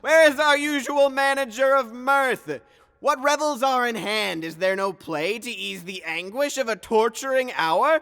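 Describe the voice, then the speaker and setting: A man playing a grand, genial yet skeptical duke of Athens, declaiming in a measured, theatrical Shakespearean style. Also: extremely high pitch, animated, bright, forceful tone, smooth, heavily acted. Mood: jovial, eager. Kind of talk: theatrical Shakespearean audio drama acting. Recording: long reverberant hall echo.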